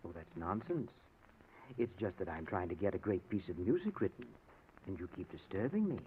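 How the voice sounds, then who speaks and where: A man's voice: sits low, big pitch swings, a little fast. A man acting in an old-time radio drama as a fussy, self-absorbed composer, speaking in a fretful, formal way. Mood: reluctant, fretful. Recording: noisy, tinny old radio recording.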